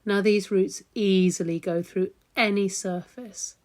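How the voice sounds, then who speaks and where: A woman leading a guided meditation in a soothing, gentle, unhurried manner with long pauses. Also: medium pitch, fairly even intonation.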